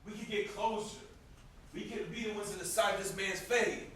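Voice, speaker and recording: A man's voice: high voice, steady tone, normal speed, a bit bright. A young man acting a vengeful, grieving, hot-headed brother in a stage play, insistent and confrontational. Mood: grimly persuasive. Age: young adult. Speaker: student stage actor. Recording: distant, very echoey, noisy stage recording.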